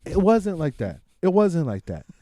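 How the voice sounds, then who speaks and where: A man talking casually and excitably, with slang and swearing, as he hosts a movie review podcast. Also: fairly high pitch, a little slow, no room sound. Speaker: podcast host.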